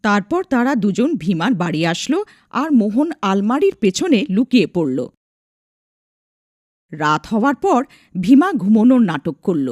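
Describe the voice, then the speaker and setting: A woman narrating a scripted animated folk tale as its storyteller. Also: medium pitch, normal speed, long pauses, no echo, studio quality.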